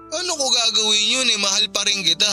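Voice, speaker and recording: A regretful young Filipino man still in love. His voice is high and very sharp, plaintive and wheedling. Soft music runs under this radio drama scene. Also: fast talker. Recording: music behind the voice.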